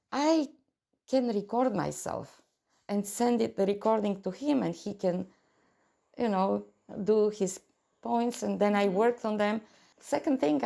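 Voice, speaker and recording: A woman, an adult violin student speaking in a documentary interview, measured and hesitant with many pauses. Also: mid-range voice, gravelly, mellow. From Bulgaria. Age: 60s.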